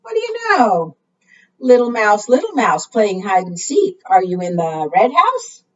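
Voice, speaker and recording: A woman's voice: medium pitch, wildly swooping pitch, a little slow. A woman reading aloud to young children as a storytime leader, in a sing-song way, often putting questions to her listeners. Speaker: librarian leading preschool storytime. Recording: muffled, distant microphone.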